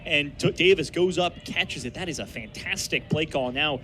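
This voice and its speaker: Fairly high-voiced male football analyst. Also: moderate pace, pushed and bright, faint music behind. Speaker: radio color commentator. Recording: outdoor stadium ambience, clear background noise. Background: stadium noise.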